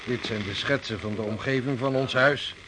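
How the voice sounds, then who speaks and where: A man's voice: mid-range voice, normal speed, bright, forceful tone, slight background noise, close to an everyday voice, rough recording. Man playing a precise ship's navigator. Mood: composed. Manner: precise, formal. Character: composed, learned expedition navigator. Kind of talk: radio drama acting.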